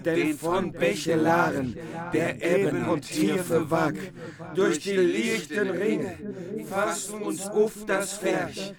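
A man performing a minstrel who chants old epic verse in a drawn-out way. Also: high voice, fairly even intonation. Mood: solemn. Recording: loud crowd behind.

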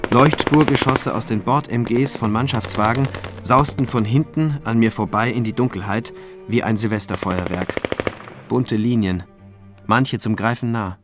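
An actor playing a cautious prosecutor in his forties, narrating his story in a radio thriller in a measured, dryly ironic way. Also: mid-range voice, lightly acted. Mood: reflective, wry. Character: forty-year-old cautious male prosecutor telling his own story. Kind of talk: scripted first-person radio drama narration. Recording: music behind the voice. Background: music.

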